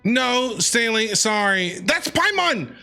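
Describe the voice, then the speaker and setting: A man streaming a story game, reacting in an excitable, animated way. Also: very high voice, takes it slowly, gravelly, faint music behind.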